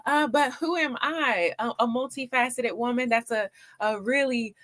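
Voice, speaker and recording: A middle-aged woman from Washington, D.C., answering casually as a talk-show guest in an expressive, emphatic, conversational way. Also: fairly high pitch, moderate pace. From Washington, D.C., United States. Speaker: interview guest, PR agency owner.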